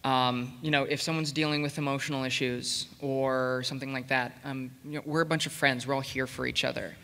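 A man's voice: mid-range voice, flat delivery, fairly quick, sharp tone. A man speaking informally on a panel, rambling and hesitant as he goes. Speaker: convention panelist, production team coordinator. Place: convention panel room with audience. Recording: echoey hall with long reverb.

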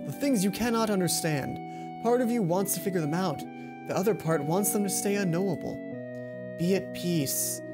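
A young man hosts a let's play with game music underneath. He reads visual novel narration aloud and cuts in with expressive, dramatic reactions of his own. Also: high voice. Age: young adult.

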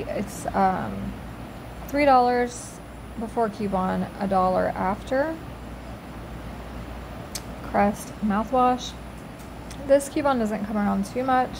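A woman's voice: medium pitch, gravelly, noisy background. A middle-aged woman, chatting through a casual voice-over about her weekly shopping. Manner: chatty, slow, bargain-focused, says y'all. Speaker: frugal living vlogger.

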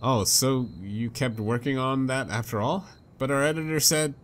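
Cautious young female game journalist, played by a man, pleading, mid male pitch. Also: normal speed, lightly acted. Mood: concerned. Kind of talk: reading visual novel dialogue aloud. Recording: game music behind.

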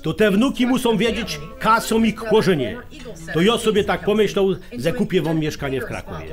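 Radio drama casting: older highlander patriarch, blunt and folksy, animated delivery, music underneath. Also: male voice, moderate pace. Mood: solemn, generous. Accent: Polish highlander. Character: bossy, generous older highlander uncle and family patriarch.

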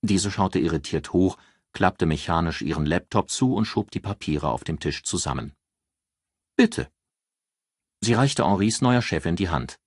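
A man reading an audiobook as narrator, with expressive scripted delivery. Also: low-pitched, moderate pace, breathy edge, no echo, studio quality.

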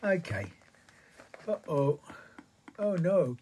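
A middle-aged Australian man giving a casual, unscripted hands-on demo in halting phrases, recorded on a handheld phone indoors. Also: fairly high pitch, big pitch swings, a little mellow, faint music behind. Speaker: retro computer hobbyist presenter. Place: small cluttered room indoors.

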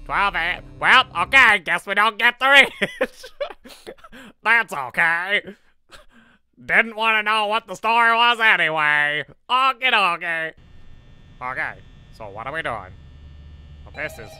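A man voicing a nervous, excitable cartoon teacher commenting on a horror game, easily flustered and repeating his questions. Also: high voice, smooth, heavily acted. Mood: curious. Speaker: gameplay video host.

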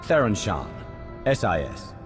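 An actor playing a cool, commanding male Sith lord in a video game, curt and measured in manner. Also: fairly low pitch, sing-song. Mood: cool, resolute. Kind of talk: video game cutscene acting. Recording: music under the dialogue.

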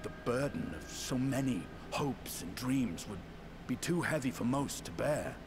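A man playing a grave, quietly loyal elven warrior in a video game, speaking in a measured, solemn way. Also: mid-range voice, animated, background noise, lightly acted. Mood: grave, earnest. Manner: slow, measured, solemn delivery. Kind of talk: video game cutscene acting. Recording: game audio with music underneath.

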